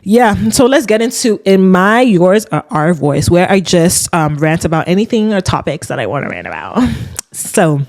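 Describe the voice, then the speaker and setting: Deep-voiced young woman ranting. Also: moderate pace. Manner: animated, ranting with laughs. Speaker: podcast host. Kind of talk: casual solo rant.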